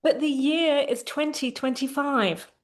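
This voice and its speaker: A woman with a fairly high voice guiding a tapping session. She explains in a gentle, instructive way, full of small fillers, then calmly leads phrase after phrase for her guest to repeat. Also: a little slow. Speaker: therapist and coach.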